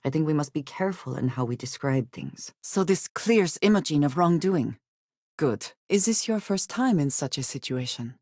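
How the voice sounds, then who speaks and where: An actress playing a guarded, solemn woman companion in a space adventure video game, speaking in formal, measured phrases. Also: low voice, animated, darkish tone, dry recording, studio quality. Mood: calm, thoughtful. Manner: formal, measured speech without contractions. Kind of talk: scripted video game voice acting.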